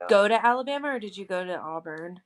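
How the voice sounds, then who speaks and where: A woman's voice: medium pitch, expressive, quick pace. A woman in her late twenties talking casually, rambling and filling her sentences with "like" and "you know". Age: 20s. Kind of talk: casual chat.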